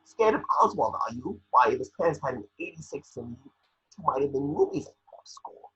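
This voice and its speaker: A man's voice: medium pitch, animated, a little fast, fairly bright tone, husky, muffled recording, pitched above the performer's own voice. A man playing a scheming, blustering business tycoon in a pompous, pushy manner in an amateur script read. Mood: blustering, mocking.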